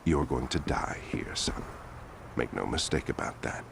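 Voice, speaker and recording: An actor playing a smug, scheming male politician villain in a video game cutscene, smooth and condescending. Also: rumbling low, lively intonation, unhurried, a bit bright, a little hiss or hum, exaggerated voice. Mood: menacing. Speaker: corrupt politician.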